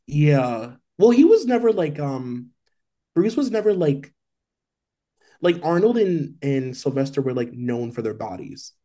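Guy cohost dishing celeb gossip, mellow dark tone, says like constantly. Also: fairly high pitch, big pitch swings, measured pace. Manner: loose and chatty, heavy on "like". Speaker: podcast co-host. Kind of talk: casual celebrity gossip chat.

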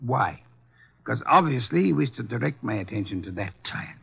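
Pompous brainy old detective, husky n gravelly, fancy precise words, old muffled radio show. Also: male voice, medium pitch, expressive, moderate pace, exaggerated voice. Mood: lecturing, self-satisfied. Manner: pompous, erudite, precise diction. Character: pompous, brilliant older male armchair detective. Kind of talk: 1950s radio drama acting. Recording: muffled vintage 1950s radio recording.

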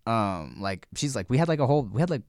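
A mid-pitched man in casual podcast conversation, easygoing and rambling, full of fillers and restarts. The sound is dry and close on the mic. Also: sing-song, fast talker, darkish tone, no room sound. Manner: laid-back, many fillers and restarts. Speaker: podcast co-host.

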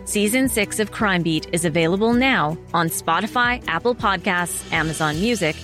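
A woman's voice: on the low side, animated, moderate pace. A woman crime reporter and podcast host reading a scripted promo narration. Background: music bed.

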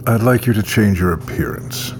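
A man playing a smug, predatory wealthy tycoon in an audio drama, suave, unhurried and commanding. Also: fairly low pitch, over music, lightly acted. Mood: suave, seductive.

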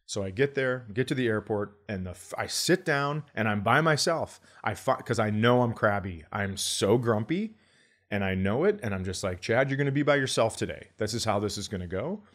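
A man hosting a comedy podcast, telling stories in an animated, grumbling, sweary way and acting out quotes. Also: on the low side. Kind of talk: comic storytelling in casual podcast chat.

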